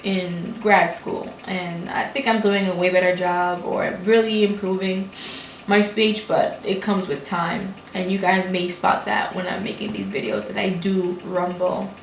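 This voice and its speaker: A young woman in her twenties from Brooklyn, speaking English as a second language, talking casually to camera in fast, run-on sentences with restarts. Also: lowish voice, level tone. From Brooklyn, New York. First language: not English. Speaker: graduate student vlogger. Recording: echoey room with faint hiss.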